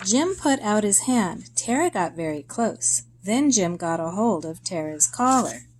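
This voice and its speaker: Slow-paced woman reading a children's story aloud. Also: mid-range voice, a little hiss or hum. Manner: deliberate, expressive storytelling with short phrases and pauses.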